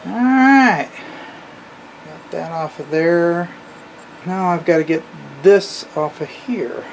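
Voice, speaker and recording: A man in his fifties talks unhurriedly through a repair at his workbench, half to himself, with easy asides. His voice comes from a camera mic a few feet away, and steady workshop noise and tool clicks sit behind him. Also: on the high side, slow, rough recording. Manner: relaxed, talks to himself while working, cheers at small wins. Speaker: antique radio restorer. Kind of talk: hands-on workshop commentary. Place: home workshop.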